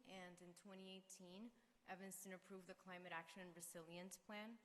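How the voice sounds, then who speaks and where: A woman, a parent, giving an earnest, persuasive prepared statement to a school committee. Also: lowish voice. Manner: earnest, persuasive, rises in emphasis. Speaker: parent speaking at public comment. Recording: reverberant meeting room with faint hiss.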